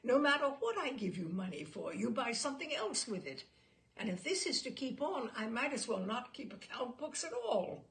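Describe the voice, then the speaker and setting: A woman's voice: on the high side, big pitch swings, normal speed, a bit bright. A woman voicing a blustering, exasperated husband who lectures pompously in a story reading. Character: blustering, exasperated husband, stern household disciplinarian.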